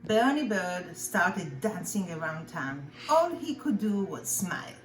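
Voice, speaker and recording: Female preschool teacher for picture-book narration; expressive, child-directed, unhurried delivery in an echoey room. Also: lowish voice, soft background music. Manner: simple, expressive storytelling for young children. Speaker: pre-K teacher reading aloud. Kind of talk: children's storybook read-aloud. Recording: echoey room with faint background noise.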